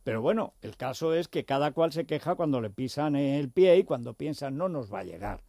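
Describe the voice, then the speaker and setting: A male talk show host with an accent from Spain holds forth on politics. His voice is creaky and recorded dry, and his delivery is emphatic, sarcastic and full of digressions. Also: on the high side, expressive, brisk, mellow, no echo. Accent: Spanish from Spain. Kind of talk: impassioned political discussion.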